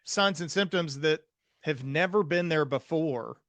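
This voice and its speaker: A man's voice: fairly high pitch, measured pace, fairly bright tone, no echo. A man hosting a conversational interview as a psychiatrist, talking in an earnest, explanatory way. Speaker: podcast host, psychiatrist.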